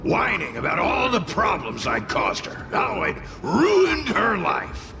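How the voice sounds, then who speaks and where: A haughty, sarcastic spirit from a video game. His gravelly delivery swings wildly up and down and takes its time, dripping with theatrical grumbling. Music plays behind him. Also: sits high, huge pitch swings, slow, exaggerated voice. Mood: grumbling indignation. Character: grumpy, sarcastic male fiery spirit, haughty and dramatic.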